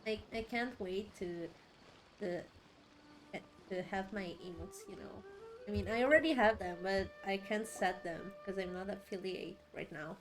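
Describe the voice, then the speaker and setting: A woman giving casual live gameplay commentary, giggly and halting, often stumbling over and repeating her words. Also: medium pitch, over music, rough recording. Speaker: game streamer.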